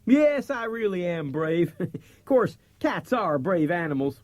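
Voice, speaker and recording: A man's voice: high-pitched, big pitch swings, takes it slowly, no room sound, exaggerated voice. A man voicing a small young male cat in an audiobook, bragging yet jittery and prone to stammer. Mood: boastful, wary. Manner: bragging, stammers when nervous. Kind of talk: audiobook character acting. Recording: cassette transfer with music behind.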